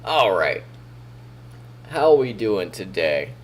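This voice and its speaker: A man's voice: lowish voice, takes it slowly, a little hiss or hum. A man streaming on a weekend morning, chatting casually with a groggy, froggy morning voice. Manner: relaxed, talking to himself while troubleshooting. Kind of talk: casual live-stream chat.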